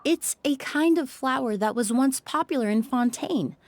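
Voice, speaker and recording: A woman voicing a composed young perfumer in a video game, speaking in a measured, explanatory way. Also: sits high, lively intonation, a little hiss or hum. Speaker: perfumer with medical knowledge. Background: faint game music.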